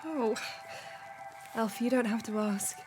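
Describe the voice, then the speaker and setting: A woman's voice: a little high, unhurried, over music, lightly acted. An actress playing a sheltered, romantic young upper-class English lady in a Victorian radio drama, formal and earnest. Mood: delighted, tender. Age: young adult. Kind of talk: period radio drama acting.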